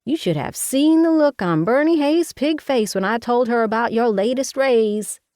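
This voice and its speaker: Woman doing a loud mama voice, high and fussy, scolding, folksy, dry recording. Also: lively intonation, moderate pace, no echo, natural voice. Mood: fussing. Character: fussy, outspoken older Southern mother.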